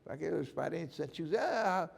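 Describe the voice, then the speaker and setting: A man's voice: on the high side, very animated, moderate pace, creaky, big-room sound. An older man from Rio de Janeiro with a Carioca accent, telling stories as an interview guest, rambling and often adding "né?". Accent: Carioca Brazilian Portuguese. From Rio de Janeiro, Brazil. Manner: rambling, repeats himself, frequent "né?". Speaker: guest lawyer. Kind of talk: interview storytelling.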